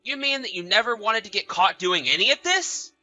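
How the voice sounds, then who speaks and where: A man's voice: high-pitched, lively intonation, fairly quick, sharp tone, dry recording, faint music behind, full character voice. A man playing a betrayed husband in an acted audio drama, bitter, sarcastic and accusatory. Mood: angry, accusing. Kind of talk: acted audio story dialogue and narration.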